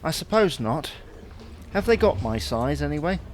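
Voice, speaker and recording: Animated, grumbling henpecked husband, acted by a man. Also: fairly high pitch, normal speed, slight background noise. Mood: grudging. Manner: reluctant, complaining, hesitant. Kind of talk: domestic comedy audio drama acting.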